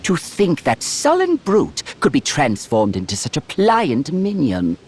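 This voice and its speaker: A man's voice: on the high side, wildly swooping pitch, a little slow, a little mellow, husky, heavily acted. A man playing a haughty, mocking alien tyrant lord with pompous, menacing theatrical delivery in a video game. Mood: haughty scheming. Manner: pompous, sardonic, elaborate phrasing. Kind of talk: theatrical villain acting in game cutscenes.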